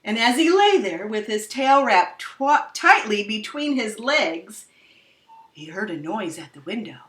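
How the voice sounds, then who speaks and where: Woman storyteller, slow dramatic delivery, very lively pitch, echoey room, distant mic. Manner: dramatic, drawn-out emphasis. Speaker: storyteller reading a children's picture book aloud. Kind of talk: expressive read-aloud storytelling. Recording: roomy echo, distant microphone.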